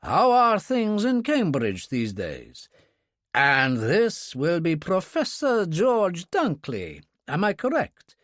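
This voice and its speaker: A man voicing a suave Russian gentleman with a slight Russian accent, courtly and ironic, in an audiobook story. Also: wildly swooping pitch, slow, dry recording, studio quality, exaggerated voice. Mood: charming. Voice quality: pleasantly deep. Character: suave Russian man, charming, lazily insolent, self-mocking.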